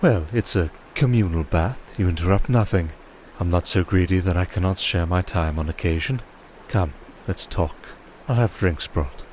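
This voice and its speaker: A man playing a commanding, composed vampire archduke in a fantasy audio roleplay, speaking in a formal, measured, dryly teasing way. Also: deep voice, a little mellow, a little hiss or hum, natural voice. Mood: gracious, composed.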